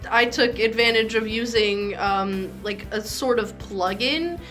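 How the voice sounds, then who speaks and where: A woman's voice: on the high side, a little slow, fairly bright tone, soft background music. A young adult woman hosting a podcast in a casual, informal chat, giggly and swearing freely. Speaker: podcast host.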